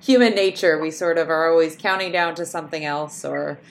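A woman's voice: sits low, fairly quick. A woman hosting a casual interview, chatty and affirming, often tagging questions with "right". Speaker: host.

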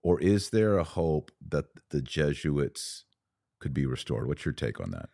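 A man with a very deep, bottom-register voice hosts a podcast discussion. He speaks in a casual, conversational way. The sound is dry and free of room echo. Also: big pitch swings, unhurried, gravelly, dark tone. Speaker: podcast host.

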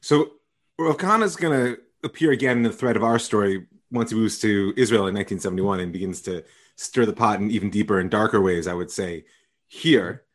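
A man's voice: on the low side, a little fast. Man, rabbi, interview host, effusive, thoughtful, lots of um and restarts. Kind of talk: conversational interview.

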